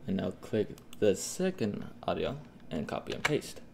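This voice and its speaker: A man hosting a casual step-by-step software tutorial, talking informally and a little haltingly, as a host guiding viewers. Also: on the low side, vocal fry. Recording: steady noise behind the voice.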